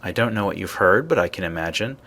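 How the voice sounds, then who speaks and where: A low-pitched, matter-of-fact spaceship crewman in a video game. He speaks in a brisk, businesslike way. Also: lively intonation, pushed and bright, exaggerated voice.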